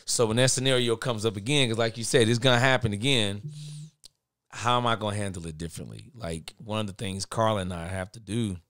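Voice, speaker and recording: A middle-aged man with a naturally big, forceful voice, talking candidly in a podcast conversation and getting louder when he drives a point home. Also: fairly low pitch, a little fast, no echo, studio quality. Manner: earnest and candid, speeds up and swells in volume when making a point. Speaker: guest, father of boys.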